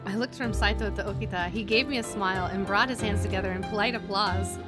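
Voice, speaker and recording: Expressive woman streamer over game music. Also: mid-range voice, fast. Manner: expressive, exclaiming, swears casually. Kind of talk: live visual novel playthrough, reading narration aloud with casual reactions. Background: video game music.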